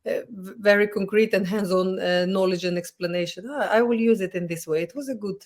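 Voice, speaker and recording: A woman hosting an interview, speaking English as a second language with halting, searching phrasing. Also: medium pitch. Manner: halting, searching for words, non-native phrasing. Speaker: podcast host. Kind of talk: casual interview chat.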